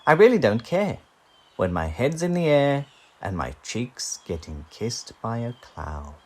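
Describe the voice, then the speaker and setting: A man's voice: mid-range voice, big pitch swings, a little slow, slight background noise. A man voicing a gangly, proud, unbothered giraffe from a children's storybook in a lofty, emphatic way.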